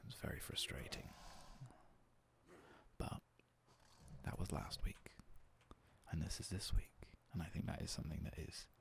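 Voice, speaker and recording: Gravelly, whispery soft-spoken man. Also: extremely low pitch, normal speed, pauses often. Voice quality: coarse, gravelly voice. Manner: soft, half-whispered, rambling and self-deprecating. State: hoarse after a long work shift. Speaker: gaming ASMR host. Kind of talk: soft-spoken ASMR gameplay commentary.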